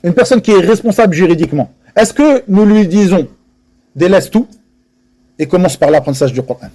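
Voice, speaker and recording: A man's voice: high-pitched, expressive, a little fast, warm tone. A man teaching a religious lesson to a live audience in French, earnest and emphatic, repeating key phrases and dropping Arabic religious terms into his speech.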